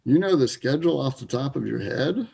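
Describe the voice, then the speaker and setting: A man playing a wry, troubled, grieving Canadian sports fan in a readers theater piece, with a gravelly voice. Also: medium pitch, animated, fairly quick, dark tone, dull audio. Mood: wry, teasing.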